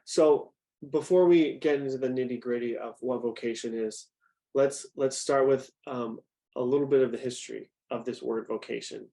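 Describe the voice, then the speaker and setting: A man's voice: medium pitch, moderate pace, vocal fry, dark tone. Man leading an interactive faith talk. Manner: conversational, asks the audience questions. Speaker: youth program director.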